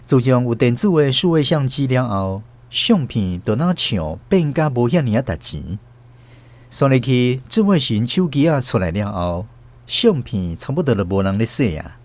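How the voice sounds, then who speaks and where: A man's voice: medium pitch, big pitch swings, normal speed, darkish tone. A man with a Taiwanese accent, narrating scripted radio stories expressively as host.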